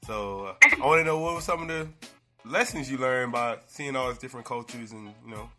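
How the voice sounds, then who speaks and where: A man's voice: medium pitch, brisk, pushed and bright, soft background music. A man hosting an interview, asking questions in a casual, loose way. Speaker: interview host.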